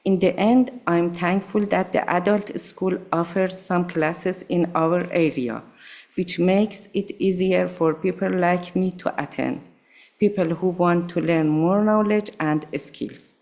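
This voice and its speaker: A woman whose first language is Farsi, reading a prepared thank-you speech at a school board meeting with careful, measured delivery. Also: on the low side, gravelly, a little mellow. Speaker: adult school student speaker. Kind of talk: prepared speech read aloud.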